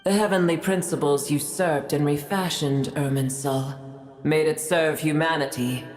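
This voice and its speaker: A woman's voice: low-pitched, steady tone, moderate pace, darkish tone, natural voice. Casting: actress as ancient female dragon sovereign, bitter, imperious, formal archaic diction, echoing game sound. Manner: haughty, grandiose, formal archaic speech. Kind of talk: video game voice acting. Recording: echoing, with game music behind.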